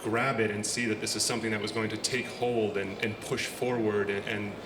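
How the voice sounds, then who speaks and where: A man's voice: fairly low pitch, brisk. American man speaking on a panel. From United States. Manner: steady, earnest, a few restarts. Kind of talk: conference panel remarks. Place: large conference hall. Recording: echoey hall with background noise.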